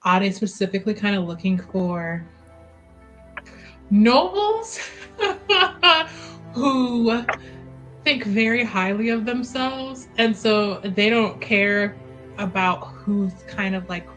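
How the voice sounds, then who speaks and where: Woman player in a fantasy game, chatty, lots of likes, normal pitch. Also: animated, slow pace, fairly bright tone, soft background music. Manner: chatty, filler-heavy. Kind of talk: casual roleplay chat.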